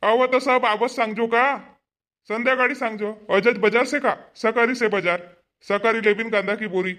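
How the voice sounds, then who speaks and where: A man's voice: very high-pitched, fairly even intonation, moderate pace, a bit bright. A man voicing a homely village husband and headman in a cartoon comedy, blunt in manner, with a Powari accent. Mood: impatient, hungry. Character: impatient but agreeable village husband and headman.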